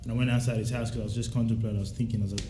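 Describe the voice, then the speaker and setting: Laid-back young man, low mellow voice. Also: flat delivery, racing. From South Sudan, raised in Australia. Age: young adult. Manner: laid-back, slangy, reflective. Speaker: musician, rapper and producer being interviewed. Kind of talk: casual interview chat. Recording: roomy echo, faint music behind.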